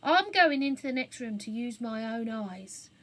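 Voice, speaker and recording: A woman's voice: a little high, animated, normal speed, a bit bright. Storybook policeman, played by a woman: grim, bossy, blunt and demanding, unmoved by argument. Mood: gruff, suspicious. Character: grim, stony-faced policeman, gruff and bossy. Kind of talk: children's storybook character voice.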